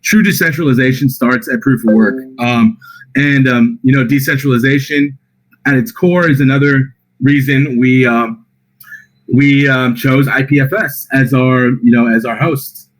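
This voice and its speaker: Tired man, slightly raspy, casual Q&A answers over a voice call, lots of fillers. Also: mid-range voice, normal speed, poor audio. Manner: relaxed, reads out questions and answers them with many fillers. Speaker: project representative answering community questions. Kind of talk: casual Q&A on a voice chat.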